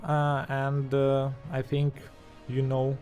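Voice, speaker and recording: A man's voice: medium pitch, completely flat delivery, darkish tone, soft background music. Hesitant man with a strong Russian accent. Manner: halting, hesitant, many fillers and restarts. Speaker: channel host. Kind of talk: unscripted commentary over video footage.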